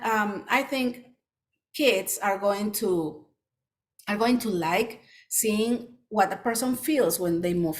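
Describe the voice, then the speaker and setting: A middle-aged woman children's author from Peru, with a Peruvian Spanish accent, giving a presentation with many pauses and restarts. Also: mid-range voice. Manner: frequent ums and restarts, earnest. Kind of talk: presentation talk.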